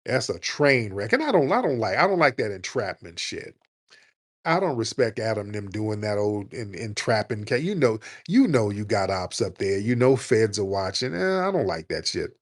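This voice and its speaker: A man with a fairly low voice hosts a call-in show. He talks bluntly and with heat, swears freely, and keeps a loose, animated rhythm. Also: normal speed. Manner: blunt, profane and animated, mocking others in a high put-on voice. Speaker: host.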